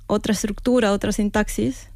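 A woman author talking thoughtfully in a radio interview, pausing, restarting and adding tag questions. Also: mid-range voice, fairly even intonation, vocal fry, a little mellow, no echo, clean studio sound. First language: Spanish. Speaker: author guest. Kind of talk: radio interview, reflective talk.

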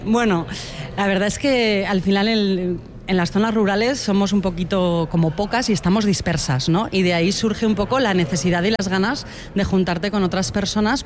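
A woman's voice: mid-range voice, a little hiss or hum. Interviewee: woman, thoughtful and earnest, keeps checking in with tag questions. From Spain (Huesca, Aragón). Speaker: beekeeper and environmental educator, interviewee. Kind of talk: radio interview answers.

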